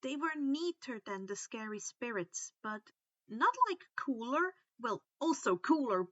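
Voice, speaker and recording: Woman, animated story narrator, bright tone, very dry slightly dull recording. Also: fairly high pitch, measured pace, no echo. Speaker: reader of fan fiction for online listeners. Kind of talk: expressive audiobook-style story narration.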